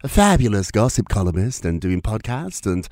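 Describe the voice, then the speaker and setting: Lively chatty male gossip host. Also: fairly low pitch, normal speed. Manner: chatty, laughs often, theatrical asides. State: slight cold. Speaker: podcast host. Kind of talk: casual gossip chat.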